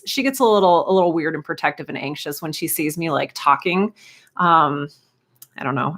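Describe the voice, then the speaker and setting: A woman hosts an informal live stream in a middle-pitched voice. She chats in an encouraging, conversational way, reading comments and greeting people as they come in. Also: fairly bright tone. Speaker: live stream host and writing teacher.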